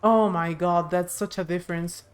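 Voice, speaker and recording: A woman's voice: fairly low pitch, fairly even intonation, normal speed, clean tone. A woman chatting casually as she streams a game live.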